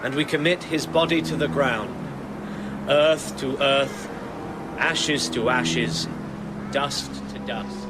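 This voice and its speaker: Casting: male actor for grave priest at a burial, unhurried, formal, radio play over heavy outdoor ambience. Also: medium pitch, natural voice. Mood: solemn. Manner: solemn, ceremonial, measured. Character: solemn male clergyman reading burial rites. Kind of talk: radio drama acting. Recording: loud outdoor background noise.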